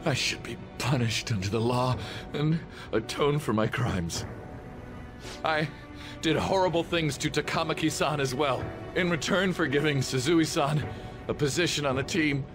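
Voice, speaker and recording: A man's voice: on the high side, moderate pace, over music, close to an everyday voice. Contrite, broken male teacher, acted by a man. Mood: remorseful. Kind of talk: dramatic video game voice acting.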